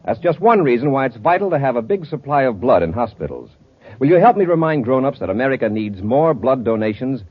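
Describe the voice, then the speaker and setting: Casting: man as heroic, commanding space patrol officer for a vintage radio serial. Medium pitch, authoritative delivery, dull old-radio sound. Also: expressive, a little fast, close to an everyday voice. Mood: earnest. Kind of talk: 1950s radio adventure serial acting. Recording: muffled, lightly noisy old radio recording.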